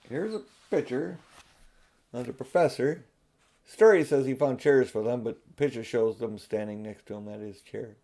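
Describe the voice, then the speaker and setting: A man's voice: mid-range voice, normal speed, vocal fry, warm tone, a little hiss or hum. An older man reading a children's storybook aloud, with casual, chatty asides. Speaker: audiobook reader.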